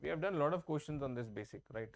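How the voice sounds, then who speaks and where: A man, his voice sitting a little high, teaches math over his own microphone. He works through each step slowly, says key points twice and keeps asking his class whether they follow. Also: animated. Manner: explains step by step, repeats and checks with students. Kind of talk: online exam-prep class. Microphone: own microphone, online class.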